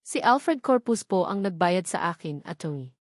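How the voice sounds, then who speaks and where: A woman's voice: lowish voice, big pitch swings, unhurried, no room sound. A fearful, deferential provincial Filipino man voiced by a woman narrator confesses in a storytelling drama. The sound is dry and close. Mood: fearful confession. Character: frightened provincial man confessing under threat.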